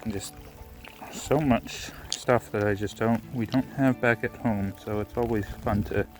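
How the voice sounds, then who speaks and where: Casual male outdoor vlogger, lowish voice, laid-back, musing, rain and music behind. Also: measured pace, poor audio. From Canada. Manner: relaxed, musing, thinks aloud with restarts. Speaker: rockhounding vlogger. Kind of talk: casual outdoor vlog narration. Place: rainy beach outdoors.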